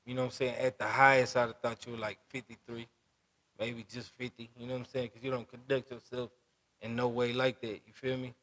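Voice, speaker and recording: This is a podcast host in his twenties, speaking in a relaxed and open way. His voice sits at an average pitch. He talks in loose street slang and keeps checking in with his guest. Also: a little fast. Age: 20s. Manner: loose street talk, keeps asking "you know what I'm saying". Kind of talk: casual interview.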